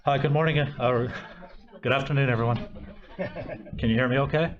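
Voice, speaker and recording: A soft-spoken man introducing speakers as moderator of a conference session, halting often with fillers. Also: mid-range voice, steady tone, highs cut off, a crowd in the background. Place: conference room.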